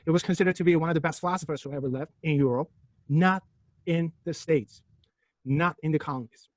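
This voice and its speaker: A man from Turkey lectures a physics class at a rapid clip, his voice sitting a bit high. He jokes, provokes and pelts his students with rhetorical questions. The sound is dry, with no room echo.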